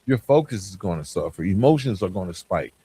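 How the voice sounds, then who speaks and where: A man with a low voice and very animated intonation delivers a motivational podcast monologue. He talks conversationally as a host, leaning on key words and pausing deliberately between phrases. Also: slightly rough.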